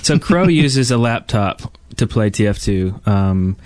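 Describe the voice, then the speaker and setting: A man's voice: lowish voice, animated, measured pace, a little mellow, no room sound. A man hosting a gaming podcast in a casual, conversational way. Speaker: podcast host.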